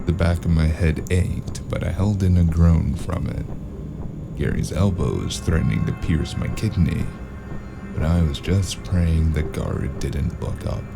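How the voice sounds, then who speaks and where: A deep, gravelly noir investigator played by a man tells his case with weary sarcasm. He is gruff and dry, in audio drama style, with clear music underneath. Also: heavily acted. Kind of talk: first-person noir audio drama narration and dialogue.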